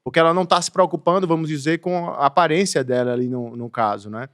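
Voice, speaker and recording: A Brazilian man with a slightly high voice hosts a relaxed podcast conversation. He rambles, restarts his sentences and chuckles as he tells stories. The mic is close and the sound is dry. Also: fast talker, no room sound. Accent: Brazilian Portuguese. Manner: rambling, many restarts and fillers, laughs while telling stories. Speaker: podcast host.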